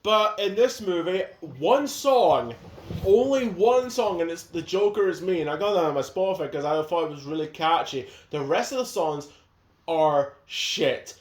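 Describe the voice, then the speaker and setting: A man's voice: high-pitched, expressive, moderate pace. A man hosting a casual chat that ranks movies, rambling and opinionated, with frequent restarts. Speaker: video host. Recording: echoey room sound.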